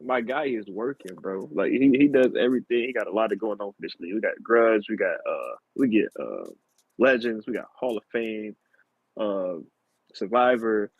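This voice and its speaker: A man's voice: fairly low pitch, moderate pace, husky, no room sound. A man chatting casually on a podcast panel, slangy and emphatic, often saying "bro", on a dull lo-fi mic. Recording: dull, muffled, dry lo-fi mic.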